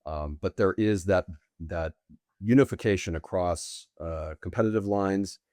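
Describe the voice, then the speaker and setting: A middle-aged man, bilingual with Portuguese, answering interview questions as a guest in long, deliberate, winding sentences. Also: sits low, a little mellow, dry recording, studio quality. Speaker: guest, sales executive.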